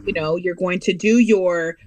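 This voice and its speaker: A woman's voice: lowish voice, steady tone, darkish tone, soft background music, poor audio. An American woman speaking as a guest in a casual interview, earnest and emphatic about her children and her values. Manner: earnest, emphatic, speeds up and slows down to stress points.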